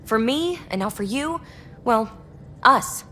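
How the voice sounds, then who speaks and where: A woman acting a brash, candid young rogue explorer in a video game, talking in a snarky, animated and colloquial way. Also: on the high side, slow pace, slight background noise, heavily acted. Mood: irritated.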